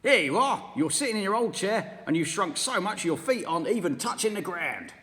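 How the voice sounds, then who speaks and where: A man's voice: sits high, big pitch swings, a little fast, fairly bright tone, big-room sound, pitched above the performer's own voice. Cruel old husband, taunting and gleeful, raised voice, a man puts him on. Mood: gloating. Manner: taunting, mock-solemn. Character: nasty gloating old man.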